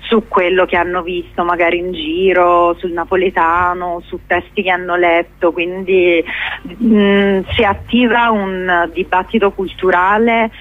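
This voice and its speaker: Slow-paced woman on a phone-like line. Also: lowish voice, level tone. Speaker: interview guest, theatre company director. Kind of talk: informal radio interview. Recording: roomy, tinny phone-like line on radio.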